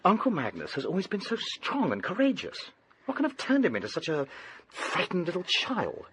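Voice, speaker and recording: An actor playing an anxious, earnest young nephew with a well-bred English accent in a radio drama. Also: male voice, high-pitched, wildly swooping pitch, normal speed, fairly bright tone, slight background noise, full character voice, low-quality recording. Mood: troubled, puzzled.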